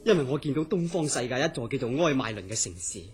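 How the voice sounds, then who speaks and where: Actor as obsessed dreamer, dramatic, swooping Cantonese delivery. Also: male voice, medium pitch, animated, quick pace, close to an everyday voice. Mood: brooding, wistful. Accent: Hong Kong Cantonese. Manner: earnest, dramatic storytelling. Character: troubled dreamer man, obsessed with a mysterious dream city. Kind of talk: Cantonese radio horror drama acting.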